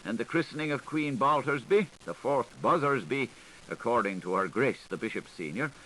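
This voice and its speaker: A man reading an unabridged literary audiobook with theatrical, sing-song delivery that swoops suddenly up and down in pitch and loudness. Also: lively intonation, moderate pace, a little hiss or hum, low-quality recording. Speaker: audiobook reader. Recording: 1985 studio audiobook recording.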